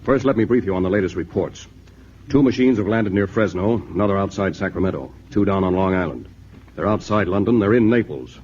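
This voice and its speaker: Deep-voiced commanding army general played by a man. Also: a little fast, darkish tone, slight background noise, close to an everyday voice. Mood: brisk, authoritative. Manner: clipped, authoritative. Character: commanding, brisk male army intelligence general. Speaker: radio drama actor. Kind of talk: 1950s radio drama acting. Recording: dull old radio broadcast, faint music.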